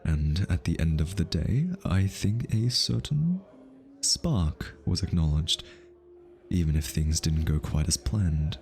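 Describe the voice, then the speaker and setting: A man's voice: low-pitched, animated, slow pace, vocal fry, darkish tone, exaggerated voice. A male voice actor playing an easily flustered, teasing hitman who is smitten, in an ASMR roleplay. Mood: coy. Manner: teasing, stammers and backtracks when flustered.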